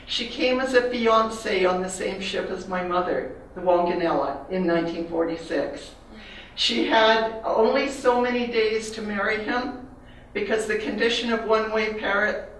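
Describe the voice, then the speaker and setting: An older woman with a Canadian accent speaks reflectively to an audience about her art, pausing now and then with fillers. Her voice sits at a middle pitch. She is heard from a distance in a very echoey hall, with faint room noise behind her. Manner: reflective, personal, pauses with fillers. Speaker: artist giving a talk. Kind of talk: slide talk to an audience.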